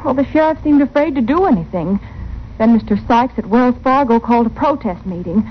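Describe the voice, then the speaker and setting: An actress playing an earnest, anxious frontier wife in an old radio western. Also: female voice, a little high, expressive, fairly quick, lightly acted. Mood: grave. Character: worried, earnest frontier wife pleading for help. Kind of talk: 1950s radio western acting. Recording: muffled, hissy old radio recording.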